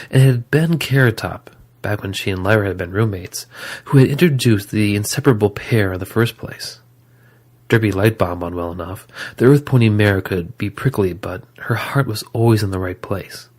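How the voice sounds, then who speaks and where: A man reading scripted story narration as the narrator of an audio drama. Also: lowish voice.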